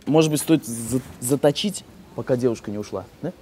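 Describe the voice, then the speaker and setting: A man's voice: mid-range voice, fairly quick, loud music behind the voice. Playful young man hosting a street travel vlog. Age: young adult. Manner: playful, chatty, breaks into singing. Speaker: travel show host. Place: outdoors on an old town street.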